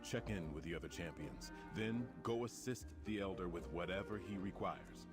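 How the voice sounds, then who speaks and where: A man as a low-voiced commanding warrior prince. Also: normal speed, close to an everyday voice. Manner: declarative, formal, rousing. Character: confident, commanding warrior prince rallying comrades. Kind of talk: fantasy video game acting. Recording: prominent music behind the voice. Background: game music.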